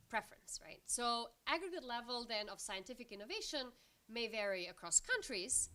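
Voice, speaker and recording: A woman's voice: mid-range voice, bright, forceful tone. A woman from Albania giving an academic conference presentation as a researcher, explaining step by step.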